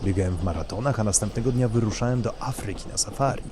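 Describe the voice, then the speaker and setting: An actor playing a courtly, boastful married gentleman in an audio drama, speaking in a gallant, formal and measured way as a charming flirt. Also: lowish voice, noisy background, lightly acted. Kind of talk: Polish audio drama dialogue.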